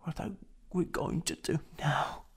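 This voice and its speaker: A man acting an anxious, polite office worker in an ASMR boyfriend roleplay, halting and dramatic, with a breathy voice that often drops toward a whisper. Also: fairly high pitch, steady tone, heavily acted. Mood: distressed, frustrated.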